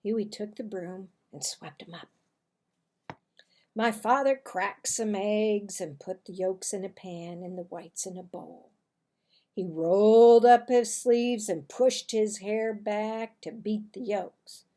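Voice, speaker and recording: A woman reads a children's story aloud with deliberate, dramatic emphasis. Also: mid-range voice, mellow. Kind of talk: expressive scripted read-aloud narration. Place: small room indoors at a desk. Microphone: computer webcam microphone at arm's length.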